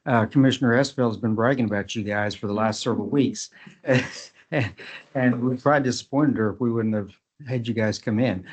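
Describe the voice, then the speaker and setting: A man's voice: medium pitch, a little fast, slightly rough. A man chairing a county commission meeting, thanking guests in an earnest, plain-spoken way. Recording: dull lo-fi meeting recording.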